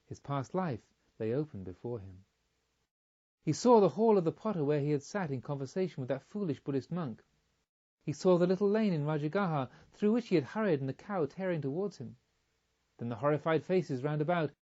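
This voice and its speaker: A man reading a literary story aloud as an audiobook narrator, in a measured, expressive storytelling manner. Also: fairly high pitch, highs cut off.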